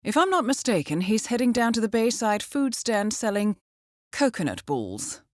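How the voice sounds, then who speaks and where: An actress playing a composed, shrewd intelligence handler in a video game, briefing an assassin in a measured, formal way with dry understatement. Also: female voice, on the high side, sing-song, no room sound. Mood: composed, informative. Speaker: mission handler guiding an assassin. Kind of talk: scripted video game voice acting, briefing narration.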